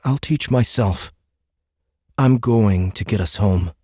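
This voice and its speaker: A man's voice: on the low side, takes it slowly, mellow, breathy edge, dry recording, clean studio sound. A man voicing a determined, cocky teenage boy with a casual, defiant manner in a science fiction audiobook. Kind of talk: sci-fi audiobook character dialogue.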